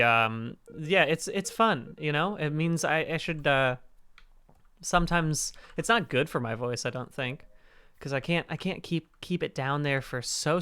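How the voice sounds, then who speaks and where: A man with a slightly hoarse voice, chatting casually and rambling to fill space on a game stream. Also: bright, forceful tone, dry recording. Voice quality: hoarse, raspy low register. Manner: rambling, fills space with talk. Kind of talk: casual chat while gaming.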